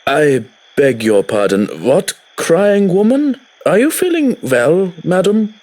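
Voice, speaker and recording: A man's voice: high-pitched, sing-song, slow pace, a little mellow, exaggerated voice. A man voicing a polite, deferential mansion servant in a horror game, with formal, precise speech. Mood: puzzled. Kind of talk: visual novel voice acting.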